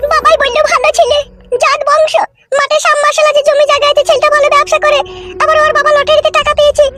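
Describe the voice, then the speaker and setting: A woman voicing a stubborn young wife in a comedy cartoon, with a squeaky childlike pitched-up voice and a bossy, insistent manner. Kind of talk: amateur comedy cartoon dubbing. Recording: loud music under the voice.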